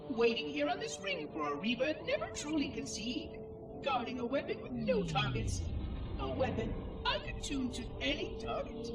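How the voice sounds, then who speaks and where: Game cutscene: ancient, lonely AI custodian, high-pitched, prim and precise, fretting to itself, echoey and distant. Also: male voice, full character voice. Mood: lonely, brooding. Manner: prim, precise, musing to itself in formal speech. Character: lonely, fretful ancient machine caretaker of a ring installation. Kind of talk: theatrical game cutscene monologue. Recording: echoey, distant, loud music behind. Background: loud music.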